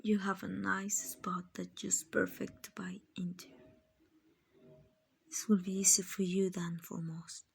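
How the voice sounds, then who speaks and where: A woman playing a kind, doting vampire owner in an ASMR roleplay, soft and often whispering, gently coaxing and reassuring. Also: medium pitch, flat delivery, a little slow, gravelly, breathy edge, heavily acted. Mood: soothing, coaxing. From Mexico. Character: kind, doting, genteel female vampire owner, soothing and possessive.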